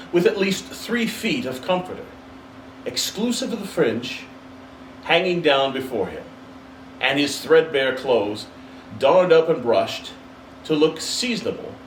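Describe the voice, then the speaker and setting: Man reading a story out loud, really animated narration, echoey room, little bit of background hiss. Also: on the high side. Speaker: story reader. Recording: roomy, distant mic, faint hiss.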